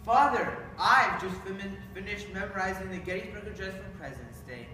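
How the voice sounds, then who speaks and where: A man's voice: high voice, fairly quick, pushed and bright. A man playing a brash, grumbling young son in a readers theater stage performance. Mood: eager, then grumpy. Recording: echoey hall, distant mic, faint noise.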